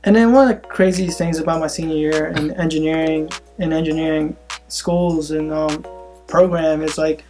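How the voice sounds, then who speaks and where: A young man in his senior year of college, chatting casually and laughing often, with soft music behind him. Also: on the high side, normal speed. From Philippines. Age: young adult. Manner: relaxed, laughs often, says man a lot. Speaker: co-host. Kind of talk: casual chat.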